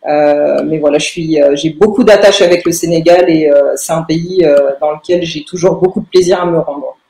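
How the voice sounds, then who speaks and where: A young woman, answering in a podcast interview with halting phrasing and very frequent filled pauses. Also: sits low, warm tone. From Paris, France. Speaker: lawyer, interview guest. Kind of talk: podcast interview answers about own career. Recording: roomy, echoing room sound.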